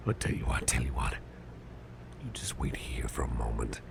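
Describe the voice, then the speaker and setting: Man playing a very deep, gravelly whispering boss. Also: heavily acted. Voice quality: gravelly, breathy near-whisper. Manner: slow, murmuring, gently reassuring, slightly formal. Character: big muscular grumpy male boss, softening and grateful. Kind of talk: whispered ASMR roleplay, personal attention.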